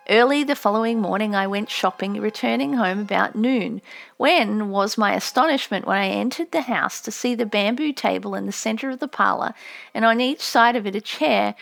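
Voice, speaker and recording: A woman reading a memoir as an audiobook narrator, with measured storytelling delivery. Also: mid-range voice, gravelly.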